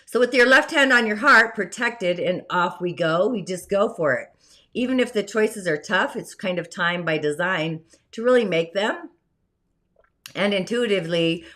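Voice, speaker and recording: Female host, hoarse today, low-ish voice, meandering and soft-trailing spiritual talk. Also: normal speed.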